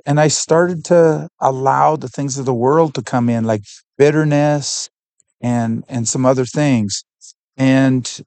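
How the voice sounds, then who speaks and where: A man's voice: mid-range voice, darkish tone, dry recording. Earnest man, dry close sound. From Canada. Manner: earnest, heartfelt, pauses to search for words. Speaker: podcast guest, missionary. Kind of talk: personal faith testimony.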